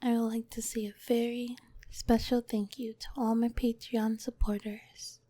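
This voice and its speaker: A woman ASMR performer gives soft-spoken thanks to her supporters. Her voice is breathy and often drops into a whisper, sitting fairly high in pitch. The recording is dry and intimate. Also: little pitch movement, measured pace, no echo.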